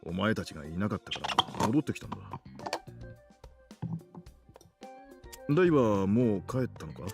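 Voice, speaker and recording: An actor voicing a gruff, concerned adult man in a visual novel. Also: fairly low pitch, big pitch swings, racing. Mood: casual explaining. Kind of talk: Japanese visual novel voice acting. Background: game music.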